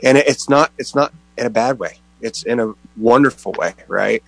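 A man in a group Bible discussion, speaking in a halting, reflective way and repeating words. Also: mid-range voice, creaky, no echo, poor audio. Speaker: Bible study participant.

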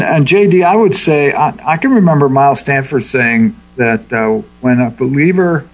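Husky man leading Bible discussion. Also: medium pitch, poor audio. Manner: thoughtful, unhurried teaching. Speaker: Bible study leader.

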